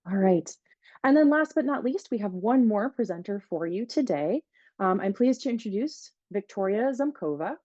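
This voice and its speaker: A woman's voice: mid-range voice, warm tone, dry recording. A woman moderating a virtual student Q&A over a video call, casual and hedging as she asks questions. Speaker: event moderator. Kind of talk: hosting a virtual Q&A. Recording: dry, muffled video call audio.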